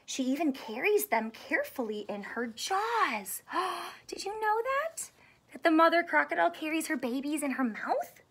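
A woman in her thirties reading a children's book aloud in an expressive, teacherly way, asking questions and drawing out key words. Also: sits high, moderate pace. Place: small indoor room with couch and stuffed toys.

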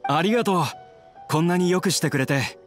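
A man's voice: sits high, brisk, natural voice. A man voicing a troubled, apologetic fugitive with a polite, hesitant, earnest manner in a Japanese game dub. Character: apologetic, troubled male fugitive returned from abroad. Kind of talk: Japanese anime game dubbing. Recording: clear game music behind.

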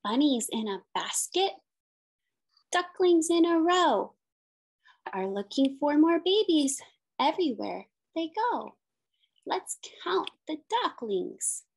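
A woman's voice: high-pitched, very animated, takes it slowly, vocal fry, long pauses. A woman in her thirties reading a picture book aloud as a teacher, in simple sing-song phrases with questions for young children. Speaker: special education teacher.

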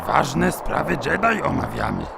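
A man's voice: fairly high pitch, big pitch swings, measured pace, vocal fry, background noise, heavily acted. A man voicing a tiny ancient alien master, solemn, speaking in inverted word order in a fan parody dub.

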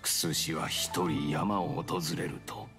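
Game casting: solemn male legend narrator, deep register, unhurried archaic delivery. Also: fairly even intonation, over music. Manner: solemn, formal.

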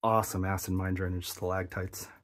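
A man's voice: low voice, little pitch movement, brisk. A man narrating his own exploration of a cramped abandoned mine, enthusiastic and explaining what he sees as he goes.

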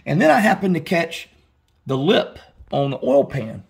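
A man's voice: a little high, big pitch swings, normal speed, vocal fry. A man explaining a car repair step by step in a casual, rambling way, full of fillers and restarts, recorded in his home garage. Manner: rambling, many restarts and "you know" fillers. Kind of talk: casual how-to walkthrough.

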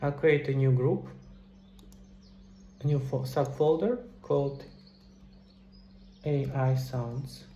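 A man's voice: mid-range voice, steady tone, takes it slowly, pauses often, warm tone. A middle-aged man casually explaining game development steps in a screen-recorded tutorial, talking into a headset indoors. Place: small indoor room at a computer. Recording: roomy echo, faint background noise.

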